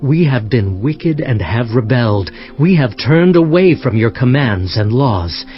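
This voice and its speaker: An actor playing an earnest, solemn prophet, narrating dramatized scripture expressively. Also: male voice, mid-range voice, lively intonation, a little slow, close to an everyday voice. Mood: penitent, earnest. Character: prophet Daniel, earnest solemn man recounting visions. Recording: dull audio under background music.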